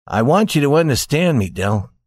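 A man voicing a gentle young husband in a storybook tale, speaking tenderly and earnestly. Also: a little high, big pitch swings, moderate pace.

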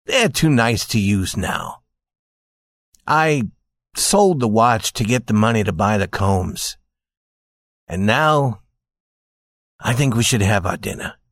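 A man voicing a gentle young husband in a storybook tale, speaking tenderly and earnestly. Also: lowish voice, very animated, takes it slowly, vocal fry, long pauses, no echo, clean studio sound, heavily acted. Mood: calm, resigned.

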